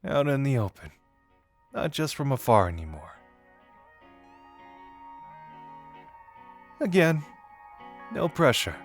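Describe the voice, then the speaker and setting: A man's voice: mid-range voice, sing-song, moderate pace, faint music behind. A man acting a flirty, self-deprecating detective who shyly confesses as a secret admirer in a romantic audio roleplay, teasing yet earnest and hesitant. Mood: tender, self-deprecating.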